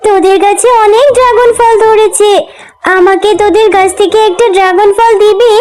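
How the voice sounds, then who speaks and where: A woman's voice: extremely high pitch, fairly even intonation, normal speed, darkish tone, soft background music. A woman voicing a sweet, eager little girl character in a children's cartoon, pleading and earnest in a childlike way. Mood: eager, wishful. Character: Tumpa, sweet poor little fox girl, eager and obedient.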